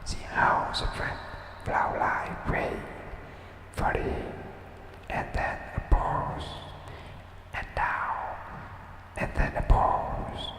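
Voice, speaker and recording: A man whispering a guided breathing meditation in a slow, soothing way, leaving long pauses. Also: noisy background. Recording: echoey room with long reverb.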